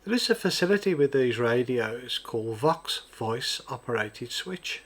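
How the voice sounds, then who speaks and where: A man's voice: mid-range voice, expressive, measured pace, fairly bright tone. Methodical man narrating a product demo. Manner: methodical, step-by-step explaining. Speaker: product reviewer. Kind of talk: scripted product demonstration narration.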